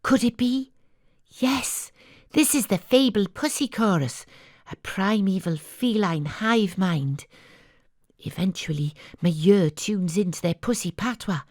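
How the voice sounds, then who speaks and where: A hard-boiled female private eye telling her own case in an audio drama. Her voice is husky and breathy, recorded close and dry. She is confiding and theatrical, with deadpan noir humor. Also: mid-range voice, unhurried, dry recording. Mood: confiding, wondering. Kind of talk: first-person audio drama narration. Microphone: close studio mic, home studio.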